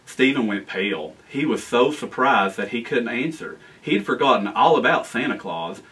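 An American man in his forties reading a children's storybook aloud in an animated, expressive way. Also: mid-range voice, normal speed, distant mic, poor audio. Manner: animated, expressive reading with asides to young listeners. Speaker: guest storyteller, town official. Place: library room with bookshelves, indoors.

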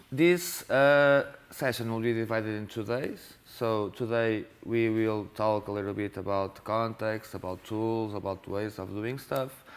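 A man in his thirties leading a masterclass in a classroom, talking haltingly with frequent restarts. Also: fairly low pitch, clear, resonant tone. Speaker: workshop leader. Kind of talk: masterclass talk. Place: classroom with projector.

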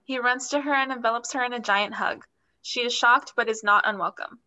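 A young woman narrates stage directions from a script. Her voice is bright and fairly high. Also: fairly quick.

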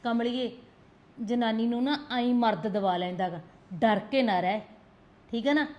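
An actress plays a fierce young sister-in-law who scolds and pushes. She talks in an insistent, no-nonsense way. Her voice sits a little high. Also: a little slow, highs cut off, lightly acted. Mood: fierce urging. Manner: scolding, insistent, repeats demands for attention. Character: firm protective sister-in-law, blunt and fierce. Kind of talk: village family drama acting.

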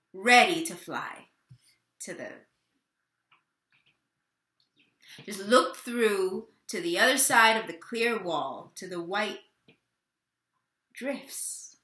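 A woman performing a dramatic monologue as a confused, childlike mother with memory loss, halting and full of wonder. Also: mid-range voice, pushed and bright, heavily acted. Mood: yearning. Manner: slow, halting, wondering delivery with lingering pauses. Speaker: actor in a staged reading. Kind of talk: dramatic third-person monologue, read on book. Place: plain indoor room at home. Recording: roomy home webcam sound.

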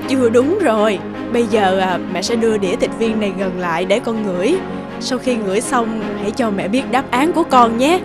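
A woman playing a patient, gentle mother who explains things coaxingly to her son in a cartoon. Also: a little high, brisk, close to an everyday voice. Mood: playful, coaxing. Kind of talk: cartoon dialogue, moral story. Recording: loud music under the voice.

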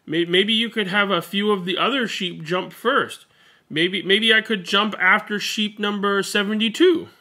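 A man's voice: high voice, a little slow, pushed and bright, pitched above the performer's own voice, exaggerated voice. A man voicing a nervous, stalling sheep in a bedtime storybook, hesitant and stammering.

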